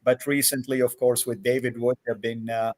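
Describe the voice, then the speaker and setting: Male host, livestream interview, video call, friendly, hesitant fillers, animated questioning. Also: medium pitch, moderate pace.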